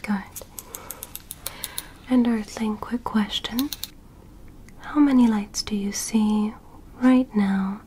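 A young woman playing a calm, curious female alien medical examiner in an ASMR roleplay, in a soft, breathy whisper with a gentle clinical manner. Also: mid-range voice, slight background noise, close to an everyday voice. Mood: calm, instructing. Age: young adult. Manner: slow, gentle, clinical, politely instructing. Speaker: ASMR performer. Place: small bedroom set, indoors. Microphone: close microphone.

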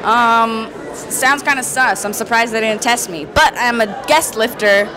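A young woman vlogging at a powerlifting meet, her voice raspy and tired from being sick, chatty and joking. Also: a little high, a little slow, crowd noise, poor audio. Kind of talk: casual vlog to camera. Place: large indoor gym at a meet. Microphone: handheld camera up close.

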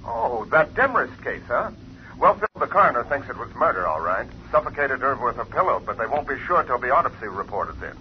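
Brisk police lieutenant, lively pitch, muffled old radio. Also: male voice. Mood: matter-of-fact. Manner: brisk, matter-of-fact. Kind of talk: 1950s radio drama acting. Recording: muffled 1950 radio broadcast with faint hiss.

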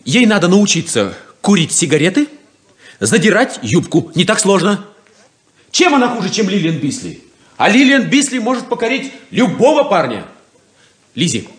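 An actor playing a brash, naive, excitable young farm brother in a 1970s Soviet radio play, boyish and emphatic. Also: male voice, sits high.

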